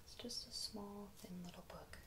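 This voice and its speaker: A woman whispering softly and breathily in a gentle, hesitant, informal ASMR talk. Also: mid-range voice, moderate pace, background noise. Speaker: ASMR video creator.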